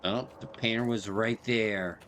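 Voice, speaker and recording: A man's voice: lowish voice, steady tone, a little slow, fairly bright tone, slight background noise. A man hosting a game playthrough with casual, dry, laconic asides. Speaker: gaming video host.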